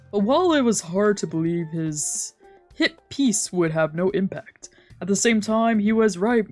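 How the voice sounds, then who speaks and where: A woman streamer reading visual novel narration aloud, plain and steady, with playful asides. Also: medium pitch.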